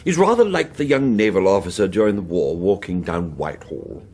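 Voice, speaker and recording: An actor playing an urbane older British intelligence agent and uncle, wry, avuncular and unflappable, speaking in a leisurely upper-class manner in a radio drama. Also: male voice, deep voice, lively intonation, a little fast, a little mellow, slightly rough, exaggerated voice. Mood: droll, reassuring. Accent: British English.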